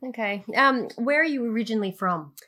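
A woman's voice: fairly high pitch, animated, fairly quick, sharp tone. A woman co-hosting a podcast, upbeat and asking questions in a casual chat. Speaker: podcast co-host.

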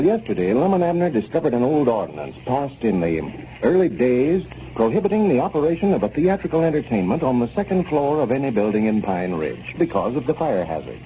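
A man's voice: mid-range voice, dark tone. An American man announcing and narrating from a script in 1930s radio style. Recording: muffled, noisy 1930s radio recording.

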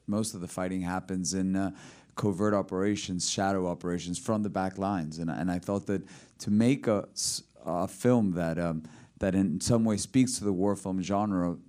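A man's voice: low voice, mellow. A man from Colombia, a film director, answering questions at a screening and repeating words as he thinks. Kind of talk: post-screening Q and A.

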